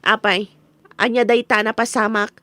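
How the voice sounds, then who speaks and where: A woman's voice: fairly high pitch, little pitch movement, moderate pace, sharp tone, no echo. A woman playing a nosy, scolding, suspicious mother with an Ilocano accent in a comedy radio drama. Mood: questioning.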